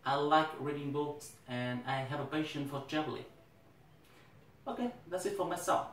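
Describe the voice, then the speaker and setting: Young Vietnamese-accented male teacher, fairly high voice, earnest speech delivery, roomy echo from a distant mic. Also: slight background noise. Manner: earnest, deliberate, occasional restarts. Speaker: English teacher. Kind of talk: prepared speech. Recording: echoey room, mic at a distance.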